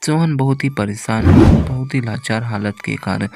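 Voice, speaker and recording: A man's voice: medium pitch, normal speed, creaky, a little mellow, soft background music. A man narrating a scripted village moral story as its storyteller.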